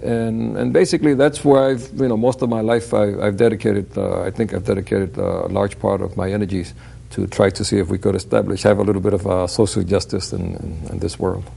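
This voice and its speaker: A man's voice: fairly low pitch, fairly even intonation, fairly quick, vocal fry, mellow, breathy edge. An older man from Puerto Rico, a guest on a talk show, earnestly telling his life story.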